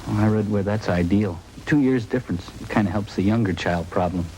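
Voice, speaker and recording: An actor playing an anxious, folksy young husband with a hesitant way of talking in a 1950s radio drama. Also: male voice, lowish voice, a little fast, mellow, lightly acted. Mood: anxious, hopeful. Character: anxious young husband awaiting his wife's childbirth. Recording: noisy old-time radio recording.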